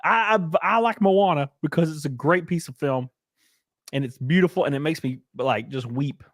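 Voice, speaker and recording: A man's voice: on the high side, moderate pace, no room sound, clean studio sound. A man hosting a casual livestream, crude, joking and teasing. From Southern US. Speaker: streamer host.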